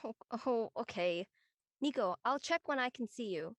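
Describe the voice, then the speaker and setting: Woman playing easygoing aunt, highish voice, natural and casual, really dry audio. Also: lively intonation, normal speed, no room sound. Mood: friendly, casual. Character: easygoing adult aunt recalling her college years. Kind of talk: naturalistic live table-read acting.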